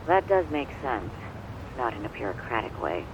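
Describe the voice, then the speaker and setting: Courteous professional male, medium pitch, polite, measured, game dialogue, clear vehicle noise. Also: sing-song, highs cut off. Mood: polite, thoughtful. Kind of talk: video game voice acting.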